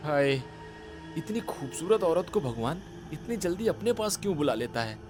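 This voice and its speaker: Narrator as a wistful man, lifted pitch. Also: sing-song, normal speed, music in the background. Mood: wistful, tender. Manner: tender lamenting. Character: smitten, wistful man sighing over lost beauty.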